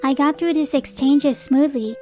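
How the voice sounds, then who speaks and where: A woman's voice: high voice, moderate pace, mellow, faint music behind, natural voice. An actress playing a determined, exasperated young working wife and mother, narrating and speaking dialogue in a manga dub. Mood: curt, resigned. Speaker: narrator and lead character.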